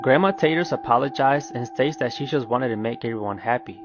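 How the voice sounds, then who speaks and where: A man narrating a scripted recap for a short video in a medium-pitched voice. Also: brisk, noisy background. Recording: dull lo-fi clip, background music.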